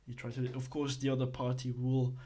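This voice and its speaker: Guy explaining stuff in a lesson, lots of uhs and restarts, pretty monotone. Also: mid-range voice, brisk. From Belgium. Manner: hesitant, many fillers and restarts. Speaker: instructor.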